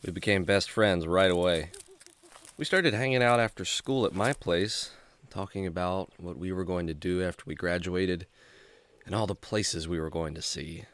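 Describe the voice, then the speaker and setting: A man's voice: low voice, normal speed, a bit bright, smooth. A man playing a friendly, earnest young adventurer in a children's radio drama, speaking like a clean-cut storyteller. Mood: nostalgic.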